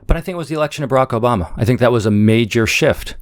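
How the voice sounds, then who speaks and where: A man's voice: medium pitch, racing, dry recording, clean studio sound. A man speaking analytically as an expert guest in an interview. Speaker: guest author, foreign policy scholar.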